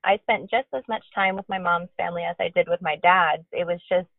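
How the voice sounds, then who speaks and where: A woman's voice: lowish voice, fairly even intonation, brisk, no room sound. Woman on a tinny phone-like line. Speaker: podcast co-host. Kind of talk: casual conversational podcast.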